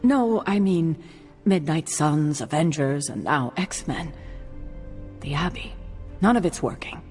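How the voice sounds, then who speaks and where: A woman's voice: deep voice, expressive, darkish tone, faint music behind. Serious, troubled woman team leader. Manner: serious, deliberate. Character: troubled woman leader of a superhero team, self-doubting, earnest. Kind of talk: video game cutscene acting.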